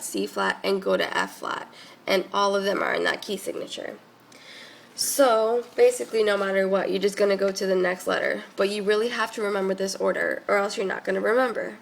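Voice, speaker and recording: A woman's voice: medium pitch, gravelly, a little hiss or hum. A young woman, a music student, giving a casual tutorial while congested from a cold, talking in a chatty way with many fillers.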